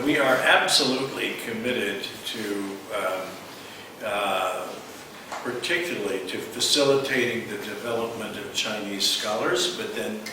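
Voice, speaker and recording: A man, a journal editor and former professor, speaking at a panel in a wry, self-deprecating, fluent way. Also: lowish voice. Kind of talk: conference panel remarks. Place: conference room. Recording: echoey hall, distant mic, noisy.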